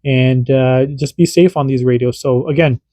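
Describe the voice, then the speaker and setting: A man's voice: mid-range voice, fairly even intonation, normal speed, mellow, dry recording, studio quality. A man and parent, hosting a casual hands-on radio demo and explaining in an informal, rambling way that often restarts sentences. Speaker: radio hobbyist host.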